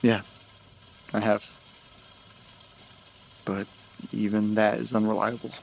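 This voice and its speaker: An actor playing an anxious, bewildered man in an audio drama, speaking hesitantly and stammering over car engine rumble. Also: little pitch movement, slow pace, vocal fry, a little mellow. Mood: earnest, troubled. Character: anxious, bewildered man recounting strange memory loss. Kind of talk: naturalistic audio drama acting.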